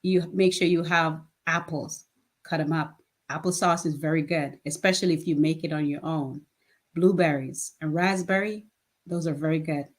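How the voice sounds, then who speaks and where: Woman in her fifties, low-pitched voice, plain-spoken and earnest, casual interview guest, quick to laugh. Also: steady tone. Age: 50s. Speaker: guest, nonprofit founder.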